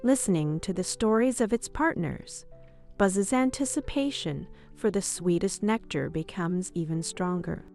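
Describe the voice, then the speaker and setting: A woman's voice: fairly low pitch, a little slow, a little mellow. Woman narrating a children's story over music.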